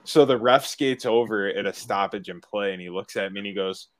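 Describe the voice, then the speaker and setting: A man's voice: fairly low pitch, brisk. A young man telling stories in a casual podcast chat, self-mocking and laughing often. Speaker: podcast regular.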